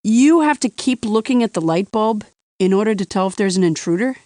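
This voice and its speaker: A woman's voice: mid-range voice, animated, a little fast, dark tone, no echo, natural voice. A woman voicing an easygoing cartoon judge with a casual, hesitant way of interjecting. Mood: puzzled. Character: offhand, easygoing courtroom judge. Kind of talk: animated courtroom cartoon voice acting.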